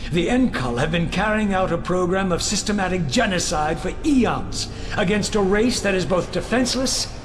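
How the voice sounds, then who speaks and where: An actor playing an earnest alien envoy addressing a senate in a video game, in formal, declamatory oratory. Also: male voice, high voice, animated, moderate pace, background noise. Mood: solemn, earnest. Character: impassioned alien envoy and commander, male, earnest, solemn. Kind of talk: scripted video game cutscene acting.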